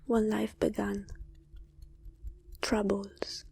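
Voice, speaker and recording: Very slow whispering woman, ASMR meditation. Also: medium pitch, little pitch movement, a little hiss or hum. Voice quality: breathy whisper. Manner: hushed, soothing, drawn-out phrases with long pauses. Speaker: ASMR channel host and meditation guide.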